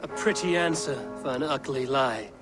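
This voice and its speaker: An actor playing a stern, steadfast Viking warrior in a video game, blunt and measured in speech. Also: male voice, mid-range voice, over music, lightly acted. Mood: stern, accusing. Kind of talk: video game cutscene acting.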